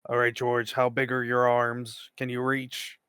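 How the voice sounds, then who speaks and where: A man's voice: medium pitch, monotone, unhurried, sharp tone, clear, resonant tone, dry recording, studio quality. A man chatting casually as a player in a tabletop role-playing game, informal and jokey.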